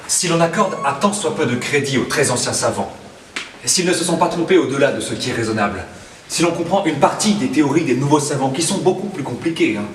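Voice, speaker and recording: A man acting a slightly mad man in a theatre monologue, reasoning earnestly and deliberately, like a pedant reciting calculations. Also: medium pitch, expressive, close to an everyday voice. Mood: earnest, calculating. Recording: echoey room, distant mic, faint noise.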